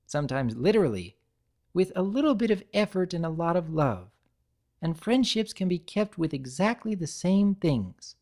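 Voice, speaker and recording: A woman voicing an excitable, tender-hearted young female cartoon pony baker, effusive, earnest and girlish. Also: on the low side, pitched above the performer's own voice.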